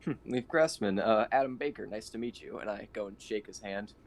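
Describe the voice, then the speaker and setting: A man's voice: fairly low pitch, a little fast. A man playing a tabletop roleplaying game, talking casually as he speaks for his character. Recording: noisy voice-chat audio.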